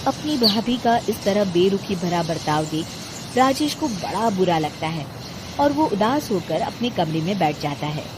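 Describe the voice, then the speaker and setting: A woman's voice: mid-range voice, big pitch swings, a little slow. A woman narrating a scripted cartoon moral story, with background noise behind her.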